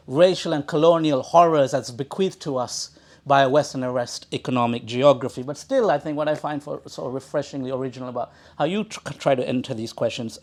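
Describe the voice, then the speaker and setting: A man from Sri Lanka hosting a book talk, speaking in an erudite, wordy way with halting restarts and repeated words. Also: fairly high pitch. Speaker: event host introducing an author. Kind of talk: academic introductory remarks at a live book talk. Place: talk in a bookshop before an audience.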